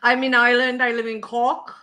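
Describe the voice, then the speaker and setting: Northern English woman, streamer host, bright and fairly high, chatty and self-deprecating. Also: little pitch movement, a little fast. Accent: Northern English, Oldham. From England. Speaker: cooking channel host. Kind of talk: casual livestream chat.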